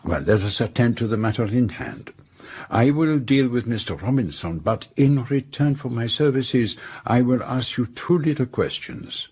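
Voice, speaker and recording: Formal, shrewd foreign gentleman detective. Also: medium pitch, lively intonation, breathy edge. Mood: probing, measured. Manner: formal, precise, measured questioning. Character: shrewd, courteous, self-assured foreign male detective. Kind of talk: radio drama acting and first-person narration.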